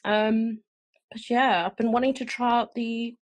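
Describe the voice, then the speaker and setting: A woman's voice: a little high, fairly even intonation, measured pace. A woman with a South African twang, a guest artist chatting casually on a podcast, rambling with fillers and restarts.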